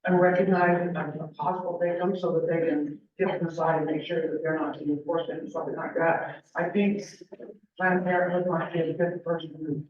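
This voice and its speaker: Man, big voice, fairly high pitch, audience member relaying a question; distant and muffled. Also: flat delivery, normal speed. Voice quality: big, carrying voice. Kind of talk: informal spoken question. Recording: muffled, echoey room, far from mic.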